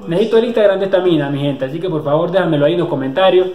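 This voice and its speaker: Young male reactor, excitable and jokey, slightly high voice, roomy webcam sound. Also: very fast, phone-quality sound. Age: young adult. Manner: excitable, joking, slangy. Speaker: reaction video host. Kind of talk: casual reaction commentary. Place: small indoor room. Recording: echoey room.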